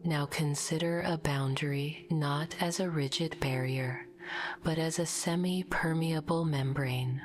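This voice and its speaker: A woman narrating a scripted guided meditation in a soft, breathy, whispery voice, with a gentle, calm and soothing delivery. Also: low voice, unhurried, soft background music.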